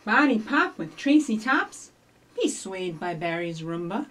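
A middle-aged woman hosting a children's storytime, giving simple instructions and reading rhymes to young children in an animated way, recorded by a camera a few feet away. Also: medium pitch, measured pace. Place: small indoor office or library room with block walls. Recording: distant camera microphone.

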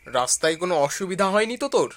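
A man's voice: sits high, lively intonation, racing, a bit bright. A man playing a caring young village man talking casually with his friends in a cartoon story. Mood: welcoming.

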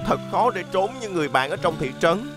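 An actor voices a secretive, excitable little adventurer of a cartoon vehicle. The mid-pitched voice swings widely as it mutters to itself, with music playing behind. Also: fast, lightly acted. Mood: wistful, pleased. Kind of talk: Vietnamese children's cartoon dub.

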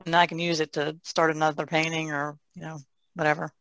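A woman's voice: deep voice, level tone, a little fast, a bit bright, dry recording. A woman chatting casually as a panelist on an art podcast. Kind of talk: casual chat.

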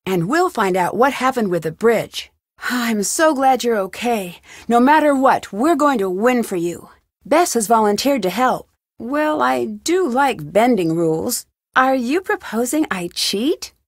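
A young woman detective for a video game, her voice on the high side and recorded dry. She is inquisitive and level-headed, putting questions in an earnest, probing way. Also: expressive, a little slow, no room sound. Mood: warm, determined. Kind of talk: video game voice acting, isolated dialogue lines.

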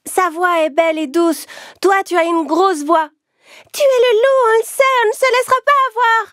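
A woman voicing small baby goats with high, shrill voices. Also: normal speed, no room sound, studio quality. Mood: defiant. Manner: high-pitched, shouting together.

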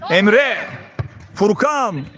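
A man's voice: high-pitched, animated, very slow, crowd noise. A man doing live play-by-play football commentary in clipped phrases, calling out player names. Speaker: match commentator.

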